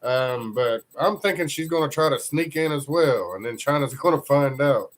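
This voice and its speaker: Male streamer, congested, loose informal delivery, average pitch. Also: lively intonation, normal speed. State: congested from a cold. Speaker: live stream news host. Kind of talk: casual live stream chat, reading comments.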